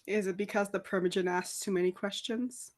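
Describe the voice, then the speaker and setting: A woman's voice: mid-range voice, steady tone, fairly quick. A woman playing a tabletop roleplay game, talking casually as she plays her character.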